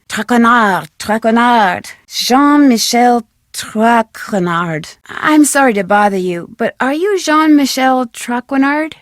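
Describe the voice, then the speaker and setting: Young female sleuth, high voice, perky, polite, inquisitive, video game dialogue, dry studio sound. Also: no room sound. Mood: friendly, polite. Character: bright, polite young female detective, curious and eager. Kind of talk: video game dialogue, short separate lines.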